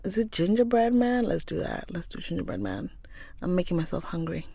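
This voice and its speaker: A woman with a stuffy, nasal voice from a cold, chatting warmly with livestream viewers and calling them darling, then reading a novel aloud. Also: lowish voice, very animated, a little fast, gravelly, husky, no room sound. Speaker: livestream book reader.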